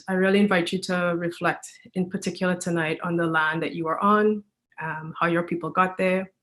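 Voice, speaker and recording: Woman hosting a discussion, on the low side. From Trinidad and Tobago. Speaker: host and moderator. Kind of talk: moderating an online panel discussion.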